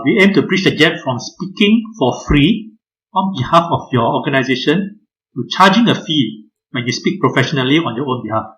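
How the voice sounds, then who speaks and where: A man, giving a measured webinar presentation over a video call, pausing often on "uh". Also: mid-range voice, fairly bright tone, slight background noise, poor audio. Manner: measured, frequent "uh" hesitations. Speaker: guest speaker.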